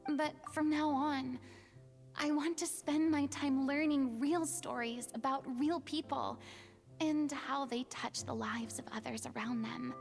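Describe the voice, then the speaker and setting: An actress voicing a theatrical young former goddess in a video game, earnest, wistful and measured. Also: female voice, high-pitched, steady tone, creaky, a little mellow, faint music behind. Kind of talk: video game voice acting, dramatic monologue.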